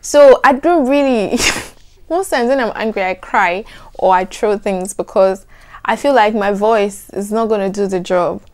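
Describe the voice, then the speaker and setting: A young adult woman with a soft, soothing voice, chatting to camera in an animated, emphatic way. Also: mid-range voice, a little slow. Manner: animated, emphatic, repeats "trust me". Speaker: vlogger. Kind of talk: casual chat to camera.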